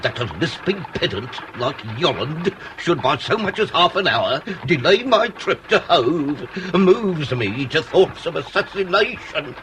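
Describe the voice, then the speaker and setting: An actor playing a pompous, irascible Victorian police inspector with a British accent, speaking in florid, blustering, orotund phrases for a comic period radio drama. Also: male voice, fairly high pitch, very animated, a little slow, few pauses, background noise. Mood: indignant.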